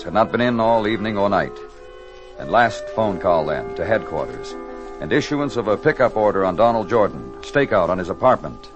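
An actor playing a terse, hard-boiled police detective who narrates in clipped fragments, in old radio drama style. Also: male voice, on the low side, natural voice. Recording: dull old radio recording with music.

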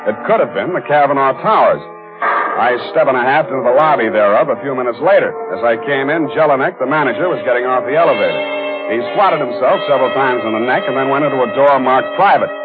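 An actor playing a wry, unflappable hard-boiled private detective in a 1940s radio drama, clipped in delivery. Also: male voice, medium pitch, animated, fast, natural voice. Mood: terse, grim. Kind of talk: 1940s radio detective drama, dialogue and first-person narration. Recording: tinny old radio recording, loud music behind.

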